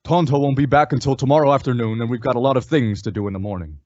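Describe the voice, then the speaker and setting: An actor playing a calm, resolute western hero in an old-time radio style, measured and instructive. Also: male voice, medium pitch, no echo, dull audio, natural voice.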